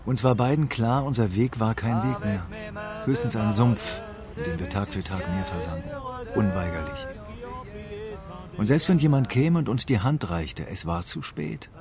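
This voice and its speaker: A man's voice: fairly low pitch, normal speed, music in the background, lightly acted. An actor playing a weary, embittered homeless man, narrating with brooding reflection in an intimate radio drama. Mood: bleak, grieving. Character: weary, embittered homeless man mourning his best friend.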